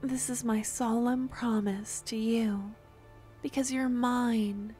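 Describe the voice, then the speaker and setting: A woman voicing an obsessive, lovesick young necromancer stalker in an intimate ASMR roleplay, with a breathy, adoring, possessive murmur that often slips into whispers. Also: a little high, steady tone, mellow, soft background music. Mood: intense, pleading. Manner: adoring, possessive, halting dramatic delivery.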